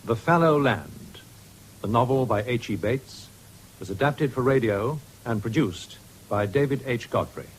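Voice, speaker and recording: A man reading formal, measured radio credits on a 1970s broadcast recording. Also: medium pitch, animated, slight background noise, rough recording. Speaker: radio announcer. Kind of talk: formal scripted cast credits.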